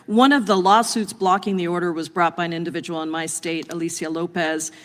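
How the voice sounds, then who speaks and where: A woman's voice: fairly low pitch, fairly even intonation, brisk. A congresswoman at a committee hearing, earnestly laying out prepared remarks before asking a witness a question. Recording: long reverb of a hearing room.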